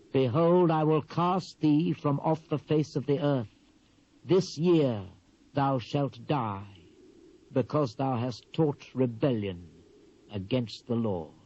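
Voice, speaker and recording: A British actor playing a solemn male prophet in a dramatised King James Bible reading, speaking in a grave, measured, declamatory way with drawn-out phrases. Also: mid-range voice, warm tone. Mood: stern, condemning. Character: solemn male Hebrew prophet proclaiming God's judgement. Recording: dull, muffled old cassette transfer.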